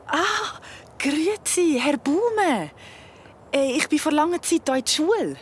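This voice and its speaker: A woman's voice: high-pitched, expressive, unhurried. A woman with a Swiss German accent playing an anxious, driven young mother in a naturalistic audio drama. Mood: hesitant, polite.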